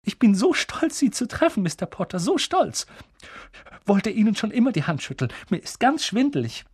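A man's voice: high-pitched, sing-song, moderate pace, a little mellow, breathy edge, no room sound, clean studio sound, pitched above the performer's own voice, exaggerated voice. A man voicing a proud, starstruck woman in an audiobook, effusive and breathless. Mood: starstruck, thrilled. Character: gushing, proud, starstruck woman pub patron. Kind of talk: audiobook character voice.

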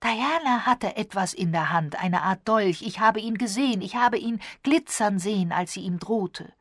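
A woman voicing an excited young woman witness in a storybook, insistent and emphatic. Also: fairly low pitch, unhurried, breathy edge, no echo, clean studio sound.

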